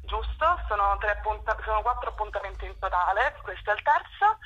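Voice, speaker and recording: A woman's voice: medium pitch, expressive, fairly quick, a bit bright. A young woman speaking as an interview guest over a telephone connection, explaining things informally. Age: young adult. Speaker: youth group spokesperson, interview guest. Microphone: phone call. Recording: muffled, rough telephone line.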